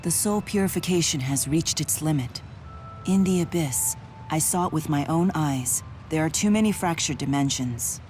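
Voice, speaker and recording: An actress voices a dignified spirit swordswoman in a video game. Her voice is notably low, formal and measured, steadfast in tone. Soft music sits behind her. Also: lightly acted. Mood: grave. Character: solemn, noble spirit woman with a sword.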